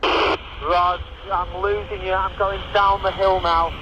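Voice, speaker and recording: A man's voice: high-pitched, level tone, takes it slowly. Man over very noisy CB radio. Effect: CB radio transmission. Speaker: amateur radio operator. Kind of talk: casual two-way radio exchange. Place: moving car on a motorway. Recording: dull, very noisy CB radio link.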